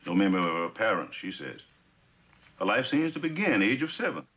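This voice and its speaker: An actor playing an earnest police officer in an old television drama, reporting in a clipped, methodical way with a husky voice. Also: male voice, fairly low pitch, sing-song, brisk, a bit bright, heavily acted. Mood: businesslike. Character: earnest police subordinate reporting case findings. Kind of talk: 1960s television drama acting. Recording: dull old TV soundtrack.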